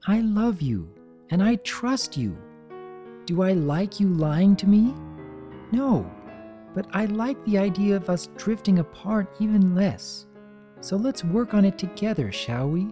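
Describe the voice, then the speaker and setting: A storyteller voicing a caring female pony, gentle, teasing and motherly, with a coaxing sing-song manner. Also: mid-range voice, very animated, unhurried, faint music behind, voice pushed up. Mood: tender.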